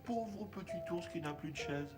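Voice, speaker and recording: A man squeaking as a tiny whimpering baby bear. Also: normal speed, loud music behind the voice, natural voice. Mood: plaintive. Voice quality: high squeaky voice. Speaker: father reading a storybook.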